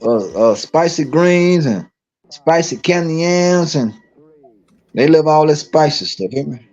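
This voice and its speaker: A man's voice: on the high side, animated, unhurried, darkish tone, faint music behind. A man from Dallas, Texas, talking casually on a live stream, emphatic and repetitive with many restarts. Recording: dull lo-fi stream audio.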